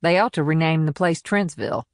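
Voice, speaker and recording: A man's voice: a little high, animated, brisk, creaky, clean tone, dry recording, clean studio sound, pitched above the performer's own voice. A man voicing a plain-spoken, wry and weary small-town policewoman in an audiobook, talking in clipped, folksy sentences. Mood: matter-of-fact, jaded. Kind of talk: audiobook character dialogue.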